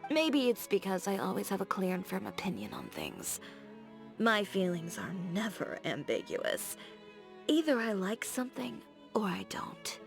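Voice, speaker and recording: A woman's voice: medium pitch, huge pitch swings, unhurried, creaky, heavily acted. A woman voicing a confident, blunt young fashion designer in a video game, direct and playfully teasing. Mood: frank, firm. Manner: direct, self-assured, teasing. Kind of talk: scripted video game character dialogue. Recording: background music.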